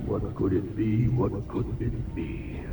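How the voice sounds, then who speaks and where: A man's voice: on the low side, vocal fry, distant mic, loud music behind the voice. A man playing a doting, cooing character in a game cutscene, speaking tenderly and without hurry. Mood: tender, playful. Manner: cooing, unhurried. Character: doting man softly cooing over gifts. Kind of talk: dramatic game cutscene dialogue. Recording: very noisy ambience, echoey.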